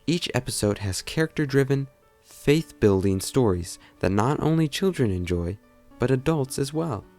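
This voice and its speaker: A man's voice: medium pitch, animated, normal speed, a little mellow, soft background music. A man reading scripted documentary narration.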